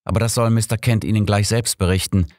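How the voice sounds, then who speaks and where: A man's voice: fairly low pitch, steady tone, fast talker, darkish tone, no echo, clean studio sound, voice pushed up, close to an everyday voice. A man voicing a formal, courteous and deferential police inspector in an audiobook.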